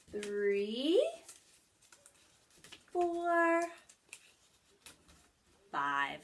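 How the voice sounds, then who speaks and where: Lady teacher doing story time for little kids, high voice that swoops all over, sing-song. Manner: sing-song, animated, talking directly to young children. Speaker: teacher of young children. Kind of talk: children's story time lesson with songs and read-aloud.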